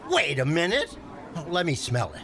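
Man playing an excitable high-voiced angler. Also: moderate pace, a crowd in the background, background noise. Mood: stubborn, insistent. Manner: bickering, exasperated. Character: excitable, stubborn, indignant amateur angler man in a squabble. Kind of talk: comic radio play acting.

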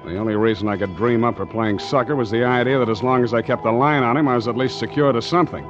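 Man playing a tough wisecracking sea captain, deadpan noir radio style, music behind, old muffled sound. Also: mid-range voice, quick pace, close to an everyday voice. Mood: wry narration. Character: tough, wry ship's master telling his own adventure. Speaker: actor narrating and playing the hero. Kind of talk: 1940s radio noir acting, hard-boiled narration.

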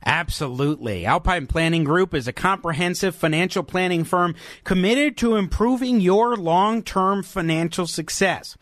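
A man's voice: high-pitched, moderate pace, hardly any pauses, a bit bright, dry recording, studio quality. A man hosting a local talk radio show, speaking in an emphatic, opinionated and conversational way. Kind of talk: talk radio commentary and interview. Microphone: studio microphone.

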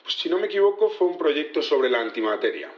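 A man's voice: a little high, very animated, quick pace, echoey room, muffled recording. An actor playing an earnest male security chief testifying in an audio drama, polite and explanatory with slight hesitations. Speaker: witness in a trial. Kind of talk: naturalistic audio drama acting.

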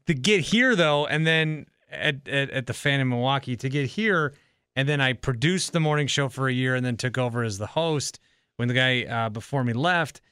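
A man's voice: mid-range voice, normal speed, pushed and bright, clean tone, no room sound, studio quality. A man in his thirties hosting a casual podcast interview, talking in a rambling, self-correcting way. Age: 30s. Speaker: podcast host and radio host.

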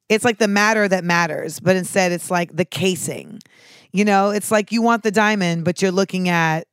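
A woman talking casually to her viewers in a sharp, clipped way, with casual swearing. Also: medium pitch, dry recording, studio quality. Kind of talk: casual monologue to camera.

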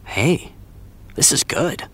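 A man's voice: slow, a bit bright. A young American man acting as a laid-back, supportive friend in a fiction podcast, with casual, teasing, slangy delivery. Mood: enthusiastic. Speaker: voice actor. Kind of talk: naturalistic fiction podcast dialogue.

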